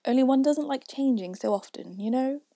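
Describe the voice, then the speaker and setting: A woman plays a polite, easily flustered little girl in a storybook. The voice is soft and breathy, hesitant and pleading, in a close, dry recording. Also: sits high, normal speed, no echo, pitched above the performer's own voice. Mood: timid. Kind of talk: storybook reading.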